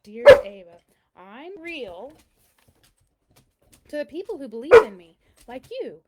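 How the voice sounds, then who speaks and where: A woman's voice: high voice, big pitch swings, slow pace, very noisy, heavily acted. A woman voicing a kindly, very old man who answers a child's letters in a storybook, reassuring and explanatory. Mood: kindly, explaining. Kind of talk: children's storybook read-aloud.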